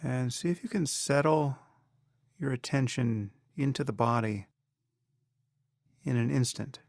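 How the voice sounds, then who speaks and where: A man leading a guided meditation as a teacher, in a measured, instructional manner with pauses between phrases. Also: mid-range voice, vocal fry, a little mellow, no echo, clean studio sound.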